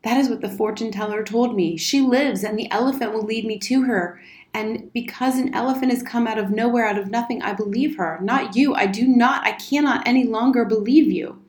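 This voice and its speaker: A woman reads the part of a grieving storybook boy, earnest and stubborn. He speaks plainly and firmly, with a clear room echo around the voice. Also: on the high side, brisk, a little mellow, natural voice. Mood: resolute. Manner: earnest, firm, plain-spoken. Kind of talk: storybook character reading. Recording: roomy, echoing indoor sound.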